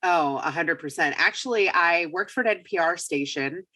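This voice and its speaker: A woman with a raspy voice from a lingering cold, talking as a podcast guest. Her voice sits low, but her tone is bright and forceful. She sounds upbeat and leans hard on her points. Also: moderate pace. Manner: upbeat and emphatic. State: getting over a cold. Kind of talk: casual interview talk.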